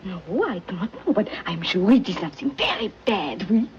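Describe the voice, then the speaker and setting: Woman as a coquettish young French maid, French accent, lively singsong voice, broken English, muffled vintage film audio. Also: lowish voice, moderate pace, a little hiss or hum, lightly acted. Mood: coaxing. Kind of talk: 1940s film acting. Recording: dull old film soundtrack.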